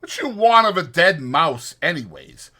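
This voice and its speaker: A man's voice: on the high side, very animated, a little slow, bright, forceful tone, pitched above the performer's own voice, full character voice. A man voicing a short-tempered, bossy working man who scolds in rustic, ungrammatical speech in a dramatized audiobook. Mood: irritated, impatient.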